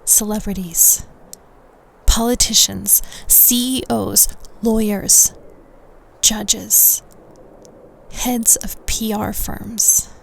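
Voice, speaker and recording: A woman plays a young secret society recruiter from an elite prep school, in an intimate audio roleplay. She speaks slowly, with a creaky edge and phrases that sink toward a whisper. Her manner is coaxing and confiding, with quiet menace underneath. A faint noise sits behind the voice. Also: mid-range voice, fairly even intonation. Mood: persuasive, enticing.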